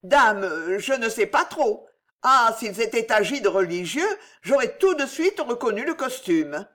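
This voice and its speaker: Woman performing an elderly devout gossip, high pitch, very animated, flustered, protesting, storybook character. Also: a little slow, exaggerated voice. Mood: unsure. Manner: voluble, protesting, pleading.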